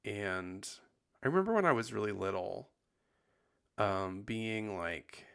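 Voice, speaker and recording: A man hosting a podcast in casual confessional chat, sounding husky and tired after bronchitis, rambling with lots of likes and ums. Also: on the low side, animated, slow, fairly bright tone. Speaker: podcast host.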